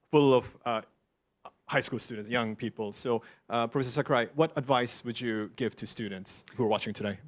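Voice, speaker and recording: A man with an average-pitched voice moderates an online question-and-answer session. He hesitates often, filling his questions with ums and uhs. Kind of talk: hosting an online Q&A.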